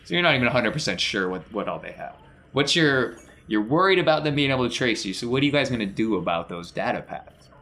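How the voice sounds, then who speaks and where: A man's voice: mid-range voice, expressive, fast, pushed and bright. A man acting as game master, narrating a tabletop roleplaying game in a conversational way.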